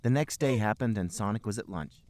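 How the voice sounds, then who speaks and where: A man's voice: lowish voice, animated, fairly quick, darkish tone, no room sound. A man hosting a podcast, reading fan fiction aloud for comedy. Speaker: podcast host and reader.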